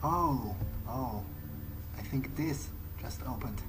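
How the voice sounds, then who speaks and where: A man's voice: medium pitch, slow, low-quality recording. A man giving in-character gameplay commentary, musing hesitantly to himself as he plays. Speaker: let's play gamer. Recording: game music behind the voice. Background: video game soundtrack.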